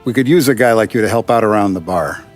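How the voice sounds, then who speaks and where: An actor playing a paternal, authoritative Italian-American mafia boss in a 1930s crime game, speaking deliberately. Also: male voice, fairly low pitch, lively intonation, close to an everyday voice. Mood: stern, instructive. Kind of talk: cinematic video game acting. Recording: music behind the voice.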